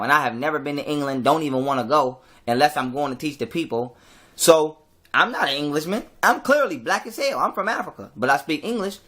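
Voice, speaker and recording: Fired-up preachy man, slightly high voice. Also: a bit bright. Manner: emphatic, preachy, profane, repeats words when fired up. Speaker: commentator. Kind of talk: heated street-corner lecture.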